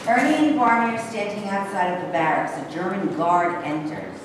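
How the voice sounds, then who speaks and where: A woman reads stage directions in a plain, declarative way. Her pitch is average. She sounds distant in a very echoey room with clear noise. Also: lively intonation, normal speed. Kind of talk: scripted narration of stage directions. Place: bare indoor rehearsal room. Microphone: distant camera microphone.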